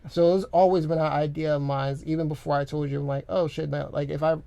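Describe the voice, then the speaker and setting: A young man co-hosting a podcast in a studio, chatting casually in a rambling, animated way with lots of filler words. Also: fairly high pitch, fast talker, gravelly, no echo. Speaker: podcast co-host. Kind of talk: casual chat. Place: recording studio. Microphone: studio microphone.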